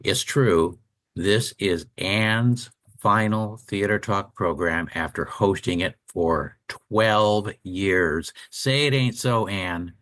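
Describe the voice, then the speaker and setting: Casting: male radio co-host, low register, conversational interviewer, close and dry. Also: slow, a bit bright, no room sound. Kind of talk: casual radio chat with announcements.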